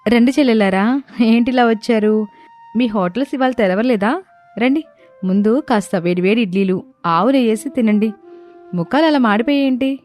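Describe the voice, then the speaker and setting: A woman's voice: fairly high pitch, normal speed, pauses often, a little mellow, soft background music, voice pushed up, close to an everyday voice. A woman voicing a kind, forgiving village elder sister-in-law, motherly and reassuring, in a moral story. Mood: kindly, reassuring.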